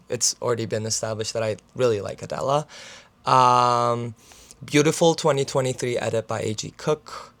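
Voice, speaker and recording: Young male student vlogger, mid-pitch, gravelly fry, raspy today; loose filler-laden chat to camera. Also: fairly bright tone. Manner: filler-heavy, talks with his hands. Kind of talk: casual rambling vlog chat.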